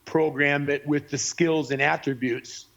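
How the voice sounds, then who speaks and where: A man speaking as an interview guest, recounting his life story haltingly with many fillers. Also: on the high side, steady tone, gravelly, fairly bright tone, lo-fi sound. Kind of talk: personal storytelling.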